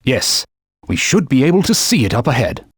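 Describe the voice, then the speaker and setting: A man voicing a haughty, pompous talking sword that guides and scolds its wielder in a formal, stern manner, with a slightly husky voice. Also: sing-song, normal speed, no echo, clean studio sound. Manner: pompous, formal and scolding, turns shrill when alarmed. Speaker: sidekick guide. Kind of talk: video game voice clips. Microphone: dry studio mic.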